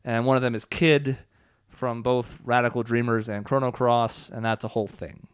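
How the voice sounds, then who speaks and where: A man's voice: mid-range voice, little pitch movement, moderate pace, clean tone, dry recording, clean studio sound. A man hosting a let's play, giving casual, opinionated commentary and explanations over video game music. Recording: game music playing behind.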